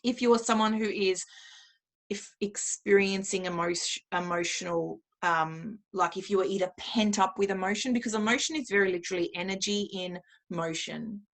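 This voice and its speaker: Woman, medium pitch, interview guest, conversational, explanatory, practical advice. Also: moderate pace. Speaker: interview guest, music therapist.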